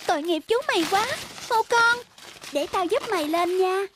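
A woman voicing a kind, earnest young peasant boy in a tender, childlike manner for an animated folk tale. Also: very high voice, fairly quick, slight background noise. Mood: tender, caring.

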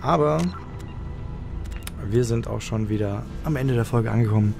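A man's voice: on the low side, normal speed, creaky. A man giving casual commentary as host of a gameplay video. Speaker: let's play host. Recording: game music behind the voice. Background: video game music.

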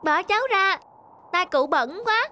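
A high-pitched, childlike little girl. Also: animated, unhurried, pushed and bright, full character voice. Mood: upset, protesting. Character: naive, outspoken little girl, devoted to her mother. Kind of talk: cartoon moral tale dubbing.

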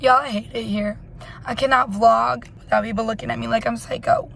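A woman's voice: a little high, fairly even intonation, a little fast, vocal fry, over music. A young woman in her twenties chatting casually while she drives, rambling and poking fun at herself, recorded on a phone camera inside her car. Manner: rambling, self-mocking, dramatic sighs. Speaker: vlogger. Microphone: phone camera on the dashboard. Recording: loud road and engine noise.

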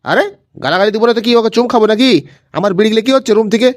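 An angry old cartoon grandfather , acted by a man, rants at speed in a high, dry-sounding voice. He is foul-mouthed and scolding, circling back over his complaints. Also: rapid-fire, dry recording, lightly acted. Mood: exasperated scolding. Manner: scolding, repetitive, ranting. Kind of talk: comedy cartoon dialogue.

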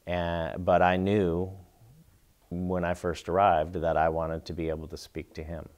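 A middle-aged man seated in a small studio, answering interview questions in a thoughtful, measured way with pauses and restarts. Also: sits low, completely flat delivery, darkish tone. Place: indoor studio before a plain backdrop.

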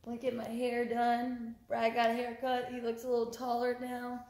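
A young woman chatting casually on a family vlog at home, giggly and relaxed. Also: on the high side, moderate pace. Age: young adult. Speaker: vlogger. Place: home living room, indoors. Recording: echoey room with clear background noise.

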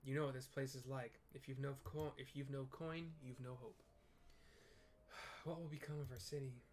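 A man's voice: mid-range voice, steady tone, moderate pace, a little mellow, slight background noise, lightly acted. A man voicing a grave, world-weary townsman in a fantasy game, with formal old-fashioned phrasing. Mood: apprehensive.

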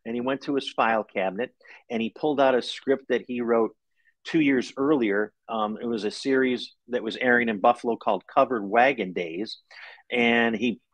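An American man in his fifties speaks in a mid-pitched voice with very little room echo. He talks like an interview guest, recounting things in a conversational way. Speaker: guest author. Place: living room at home, indoors. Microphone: video call.

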